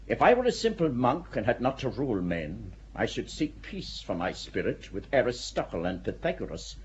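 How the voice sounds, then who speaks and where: Stern, wily archbishop with a lively, rising and falling voice, dull recording, played by a man. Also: mid-range voice, close to an everyday voice. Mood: measured. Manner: measured, authoritative. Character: wily middle-aged archbishop, worldly and stern. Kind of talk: audio drama acting. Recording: dull audio with faint hiss.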